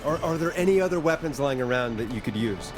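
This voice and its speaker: An actor voicing a concerned, hesitant radio host in a horror video game, earnest and questioning. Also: male voice, medium pitch, animated, fast, over music, natural voice. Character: uneasy, concerned male late-night radio host.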